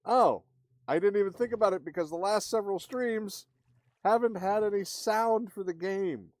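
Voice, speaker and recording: A man's voice: sits high, normal speed, a little mellow, no room sound, clean studio sound. A man livestreaming a game, chatting casually and in a rambling way with his viewers. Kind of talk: casual live game-stream chat. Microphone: streaming microphone with gain maxed out. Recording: clear background noise.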